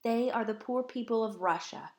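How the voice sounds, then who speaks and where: A woman voicing a seasoned male high-wire performer in a storybook, a patient and earnest teacher who speaks in instructive declarations. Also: normal speed, close to an everyday voice. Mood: grave.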